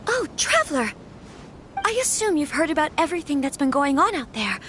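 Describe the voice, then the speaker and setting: An actress playing a gentle young noblewoman in an anime fantasy game, troubled yet firm, with soft, earnest, formal phrasing. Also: high-pitched, sing-song, brisk, a little hiss or hum. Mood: troubled, reflective.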